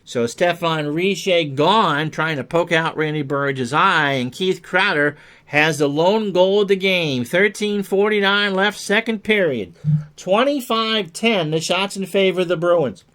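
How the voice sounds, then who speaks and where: A man's voice: high voice, a little slow, a bit bright. A man doing chatty play-by-play hockey commentary, mixing clipped calls of the action with joking asides.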